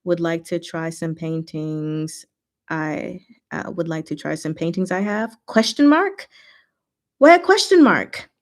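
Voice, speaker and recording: Woman, slightly low voice, livestream host, casual rambling chat, playful and expressive delivery. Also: measured pace.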